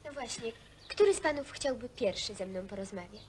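Female researcher, played by an actress: higher voice, courteous, formal, slightly hesitant, old film sound with faint noise. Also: expressive, brisk, a little mellow, lightly acted. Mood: businesslike. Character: polite, earnest female sociology researcher conducting a survey. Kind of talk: naturalistic film acting.

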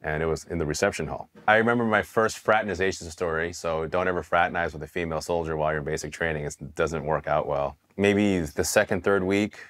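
A man with an extremely low voice speaks in a seated studio interview. He tells his story candidly and casually, swearing now and then and restarting his sentences. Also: fairly even intonation, fast talker, hardly any pauses, fairly bright tone. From Taiwan, raised in California. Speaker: Army veteran interviewee. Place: dark studio set, indoors. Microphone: hidden mic, seated on camera.

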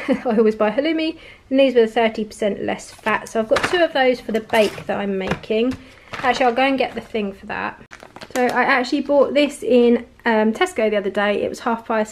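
Woman, chatty haul vlogger, rambling, bit high-pitched, faint background hiss. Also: moderate pace. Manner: chatty, rambling, laughs often. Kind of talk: casual grocery haul chat. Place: home, at a table of groceries. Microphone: camera pointed at the table, speaker off screen.

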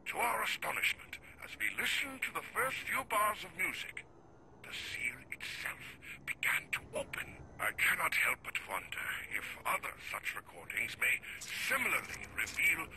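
Grave scientist on crackly radio log. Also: male voice, high-pitched, very animated, creaky, exaggerated voice. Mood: fascinated, pondering. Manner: formal, measured, dramatic. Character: grave, learned male scientist recording research logs. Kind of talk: scripted video game audio log narration. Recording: noisy old radio-style audio log.

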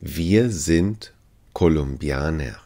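Man, extremely deep voice, very slow delivery, careful phrase drill, language teacher. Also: expressive, warm tone. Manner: says each phrase three times, carefully and evenly.

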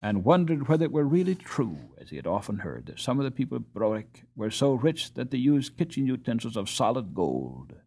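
A man narrating a storybook as an audiobook reader, in an expressive scripted style. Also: medium pitch, fairly quick, gravelly, hardly any pauses, breathy edge.

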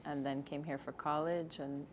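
Thirtysomething woman filmmaker, low voice, talks it through kinda haltingly, light room hum. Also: level tone. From Egypt. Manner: thoughtful, slightly halting storytelling with restarts. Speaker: guest filmmaker. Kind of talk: interview answers. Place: studio set with screens behind.

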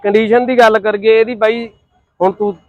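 A man's voice: sits high, little pitch movement, fairly quick, phone-quality sound. A man with a Punjabi accent selling used tractors, talking casually and persuasively in colloquial speech. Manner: persuasive, colloquial, uses rhyming echo words. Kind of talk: casual sales pitch in conversation.

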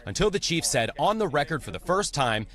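A man reporting a local TV news story in a measured, scripted broadcast style. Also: a little high, very animated, a bit bright.

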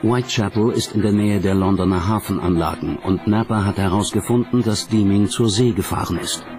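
A man narrating a documentary from a script in a measured, dramatic storytelling way. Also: on the low side. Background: background music.

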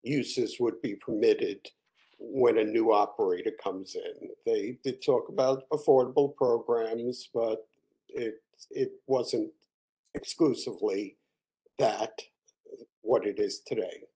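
A man presenting a resolution in a video-call board meeting, measured and explanatory with frequent fillers. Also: lowish voice, mellow, poor audio. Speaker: committee chair presenting a resolution.

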